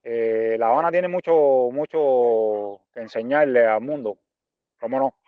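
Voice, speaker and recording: Smooth-voiced Cuban man, dull lo-fi sound. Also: mid-range voice, no echo. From Cuba. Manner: halting, searching for words with repeated phrases. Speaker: interview guest, visual artist and art teacher. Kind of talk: reflective interview answers.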